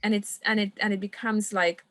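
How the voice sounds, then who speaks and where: A woman's voice: mid-range voice, fairly even intonation, brisk, fairly bright tone, no room sound, studio quality. Reflective woman guest from Trinidad. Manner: reflective, earnest, laughs often. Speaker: guest, coach. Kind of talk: podcast conversation.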